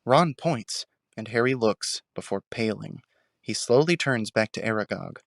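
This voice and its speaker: A man delivering dramatic scripted narration with suspenseful, deliberate phrasing. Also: lowish voice, expressive, gravelly, no echo, clean studio sound.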